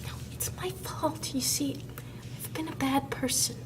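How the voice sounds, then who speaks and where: An actress playing a naive, guilt-ridden young captive who whispers in a hesitant, childlike, pleading way in an audio drama. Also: female voice, high voice, unhurried. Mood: anxious, remorseful. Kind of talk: audio drama acting, hushed confiding.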